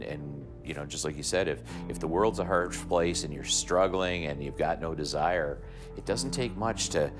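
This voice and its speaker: A man in his fifties speaks earnestly in a documentary interview, his very deep voice soft and halting as he repeats words to find the right ones. Clear music plays underneath. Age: 50s. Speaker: retired Air Force officer sharing his experience. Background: background music.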